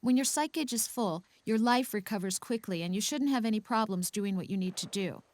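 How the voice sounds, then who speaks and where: A woman's voice: medium pitch, lively intonation, fairly quick, no echo, close to an everyday voice. Female game counselor, played by an actress: caring yet insistent, mid-range voice, dry recording. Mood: instructive. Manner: clear explaining, gently insistent. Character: caring but firm female counselor advisor. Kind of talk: video game voice acting.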